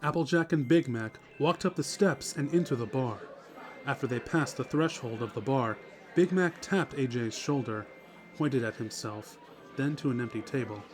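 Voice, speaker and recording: Narrator, male, mid-range pitch, scripted storytelling for an audio drama, light music bed. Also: normal speed.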